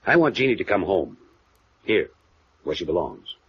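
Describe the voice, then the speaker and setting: A man's voice: sits low, huge pitch swings, normal speed, husky, exaggerated voice. A man playing a guarded, well-spoken wealthy father in a 1950s radio noir drama, speaking formally and evasively. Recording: muffled vintage radio drama recording.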